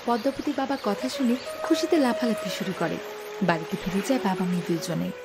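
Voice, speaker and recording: A woman's voice: mid-range voice, animated, brisk, over music. A woman reading scripted story narration as the narrator of a tale.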